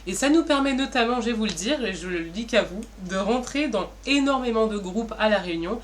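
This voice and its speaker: A woman's voice: on the high side, animated, brisk. A woman co-presenting in a semi-formal, improvised way, explaining things directly to the viewers. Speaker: co-presenter explaining an online community. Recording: clear background noise and ambience.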